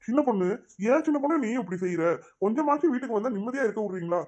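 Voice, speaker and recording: A woman's voice: a little high, lively intonation, rapid-fire, a little mellow. A woman voicing a weary young husband in a cartoon, exasperated and lecturing. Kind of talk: cartoon voice acting.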